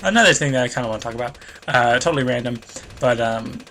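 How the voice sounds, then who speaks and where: Bright-voiced rambling young gamer guy. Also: medium pitch, lively intonation, fast, a little hiss or hum. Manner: rambling, loses train of thought, self-deprecating. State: stuffy nose, voice a bit worn. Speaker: let's play host. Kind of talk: rambling gameplay commentary.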